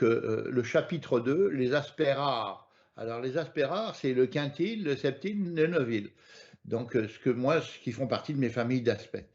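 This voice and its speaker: French guy giving an astrology class online, keeps stumbling on words, dull lo-fi sound. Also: medium pitch. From France. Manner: hesitant, repeats words often. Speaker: astrology teacher. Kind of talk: live online lesson.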